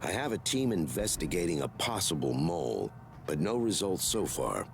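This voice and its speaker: A scheming military aide in a video game, measured and placating. The voice is very low, creaky and full of movement. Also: male voice, big pitch swings, background noise, full character voice. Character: smooth-talking, calculating military subordinate. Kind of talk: video game cutscene acting.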